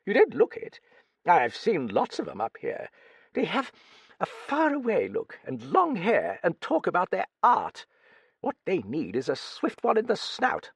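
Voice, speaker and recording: A blunt, hearty old woman who swears without a second thought, her voice pitched up high and swinging wildly with a gravelly edge, as a male narrator puts her on. She blusters along, cheeky and gossipy. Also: wildly swooping pitch, a little slow, no echo, exaggerated voice. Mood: bluff, gossipy. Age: older.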